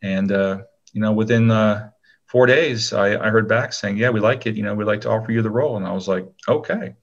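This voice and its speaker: A man talking casually as an actor being interviewed, rambling along with frequent pauses. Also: fairly low pitch, lo-fi sound.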